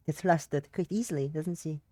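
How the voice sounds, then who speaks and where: A woman voicing a shy, quiet woman with a put-on Russian accent and hesitant, halting phrasing. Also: low-pitched, very noisy. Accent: attempted Russian. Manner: soft, hesitant, broken phrasing.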